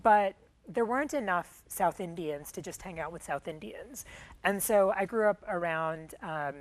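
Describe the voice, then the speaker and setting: A middle-aged woman from Michigan whose first language was Tamil speaks in a fairly low voice. She talks through her story in a relaxed interview, filling pauses with ums and uhs and restarting her sentences as she thinks. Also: poor audio. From Michigan, USA. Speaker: guest. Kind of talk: conversational interview.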